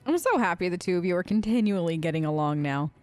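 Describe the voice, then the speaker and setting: A woman's voice: on the low side, expressive, quick pace, a little mellow, no echo. A woman hosting a gaming video, role-playing her side of the dialogue in a chatty, wry, informal way. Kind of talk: let's play role-play, casual in-character dialogue.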